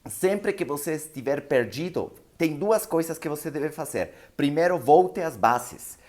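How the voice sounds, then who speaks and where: A man's voice: on the high side, lively intonation, unhurried, a little mellow. A man whose first language is Spanish, with a Spanish-speaking accent, giving a live motivational seminar talk as an emphatic storyteller who keeps checking in with his listeners.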